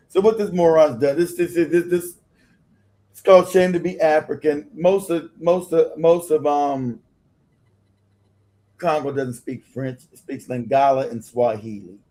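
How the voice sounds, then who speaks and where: A man joining a broadcast as guest commentator, speaking heatedly and halting, repeating words. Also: medium pitch, warm tone. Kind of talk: casual commentary.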